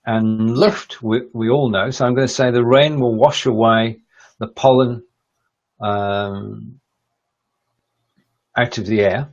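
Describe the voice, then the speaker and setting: A man's voice: medium pitch, fairly even intonation, slow pace, long pauses. Hesitant man learning German, dull audio. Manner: hesitant, stumbles over German words. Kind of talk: casual language lesson chat.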